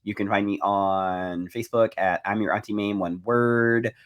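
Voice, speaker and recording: A man co-hosting a chatty podcast, hoarse from being sick, with a deep baritone voice and a rambling, animated way of telling stories. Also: moderate pace. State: hoarse from illness. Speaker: podcast co-host. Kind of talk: casual chat. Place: Miami.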